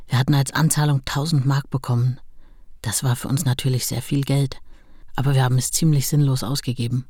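An actress playing a sober, plain-spoken writer's wife who reminisces matter-of-factly in a radio play. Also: female voice, very deep voice, darkish tone, breathy edge, no echo, studio quality. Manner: matter-of-fact, unadorned.

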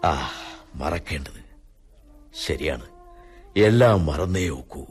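An actor playing a bitter, drunken planter who taunts and broods theatrically in a radio drama. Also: male voice, rumbling low, lively intonation, slow pace, husky, heavily acted. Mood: bitter, brooding. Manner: theatrical, brooding, swinging from murmur to outburst.

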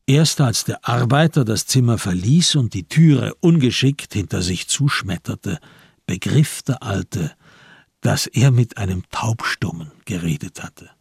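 Husky, low-voiced male radio drama narrator. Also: animated, measured pace, a little mellow, no room sound, studio quality.

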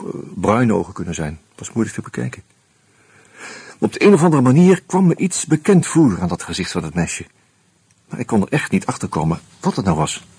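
An actor playing a reflective, wry man who tells his story in a radio play, in a musing, halting, conversational way. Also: low voice, a little mellow, husky, dry recording, exaggerated voice. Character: reflective male friend telling his story, wry, hesitant. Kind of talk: intimate first-person radio drama narration and dialogue.

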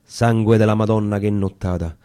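Man acting mellow Roman soldier reciting war verse. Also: lowish voice, moderate pace, smooth, dry recording, studio quality, close to an everyday voice. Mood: hushed remembering. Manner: expressive, storytelling. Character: male Italian volunteer soldier recounting a battle. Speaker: actor reciting dialect verse. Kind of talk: dramatic verse recitation, narrating a war tale.

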